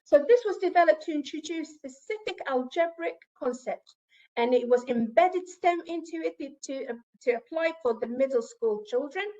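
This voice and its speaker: High-pitched woman, arabic first language, conference talk, pauses and restarts, lo-fi audio. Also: animated, darkish tone. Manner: explains slowly, with restarts and asides to the audience. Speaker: conference presenter. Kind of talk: academic presentation.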